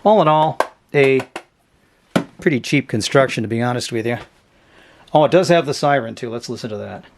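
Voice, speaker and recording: Man giving a halting, offhand product demo. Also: mid-range voice, vocal fry, noisy background. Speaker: host of a vintage radio review channel. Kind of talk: casual unscripted product demonstration.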